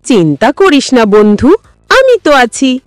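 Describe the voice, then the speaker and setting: A woman's voice: high voice, huge pitch swings, measured pace, no echo, clean studio sound, faint music behind, pitched above the performer's own voice, heavily acted. A woman voicing a clever, nimble goat in a children's cartoon story, friendly, reassuring and self-assured.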